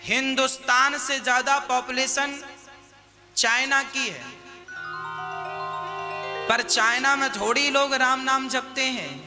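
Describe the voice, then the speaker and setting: High, bright-voiced preacher man. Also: big-room sound. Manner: rhetorical questions, scolding and exhorting listeners. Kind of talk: devotional sermon.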